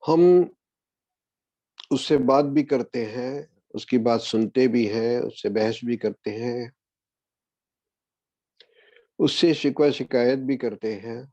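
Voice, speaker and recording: A man guiding a meditation as a spiritual discourse, speaking in a measured way with long pauses. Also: mid-range voice, a little mellow, no room sound. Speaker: meditation guide. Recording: dull, lo-fi, dry audio.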